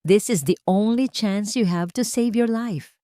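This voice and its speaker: A woman's voice: mid-range voice, unhurried, dark tone, no echo, clean studio sound, pitched above the performer's own voice, lightly acted. A woman voicing a young billionaire CEO in a romance audio story, blunt, businesslike and controlled. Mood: curt, confident. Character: commanding, cool young male billionaire CEO.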